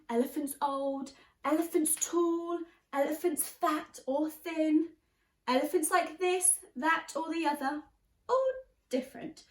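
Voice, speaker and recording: A woman reading a children's story aloud like a teacher, expressively and deliberately, stressing key words. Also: high voice. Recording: roomy, echoey sound.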